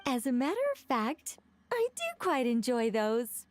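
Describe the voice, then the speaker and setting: A woman voicing a modest, polite young lady sculptor in a video game, speaking with gentle hesitation. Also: a little high, big pitch swings, unhurried, background noise. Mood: modest, coy.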